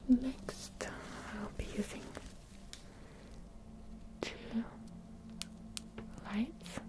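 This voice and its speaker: Young woman whispering slowly. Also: on the high side, poor audio. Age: young adult. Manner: soft, unhurried, gently instructing. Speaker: ASMR host playing an eye examiner. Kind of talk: whispered ASMR medical roleplay. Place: small dim indoor room with curtain. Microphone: close ASMR microphone.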